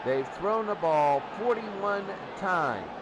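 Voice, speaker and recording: Male sports commentator, fairly high-pitched, unscripted stat rundown, very noisy recording. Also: expressive, slow pace. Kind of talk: unscripted statistics rundown before a game.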